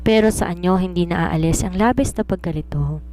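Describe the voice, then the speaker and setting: A Filipino woman narrates a romance story from a script. Her voice sits on the low side and has a dark, soft tone. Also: brisk.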